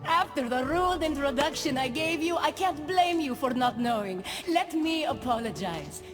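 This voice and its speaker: A woman's voice: high voice, expressive, normal speed. A woman playing a poised, gracious, possessive goddess queen of a paradise realm in a video game cutscene. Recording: long reverb, music behind.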